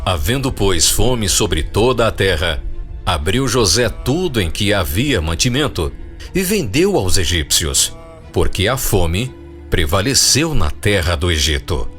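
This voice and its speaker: A man's voice: sits low, sing-song, measured pace, a bit bright, soft background music. A man narrating a dramatized audio Bible in a scripted storytelling style.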